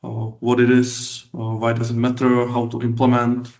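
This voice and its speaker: A man with a Czech accent gives a technical conference talk in halting, hesitant non-native English, often repeating words. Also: mid-range voice, monotone, darkish tone. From Czech Republic. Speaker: conference speaker, BI consultant and lecturer. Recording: roomy, echoing, slightly dull sound.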